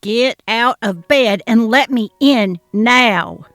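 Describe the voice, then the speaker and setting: A woman voicing a jealous, demanding grandmother who talks loud and shrill. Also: takes it slowly, no echo, clean studio sound, faint music behind. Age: older. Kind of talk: dramatic reading of a text-message story.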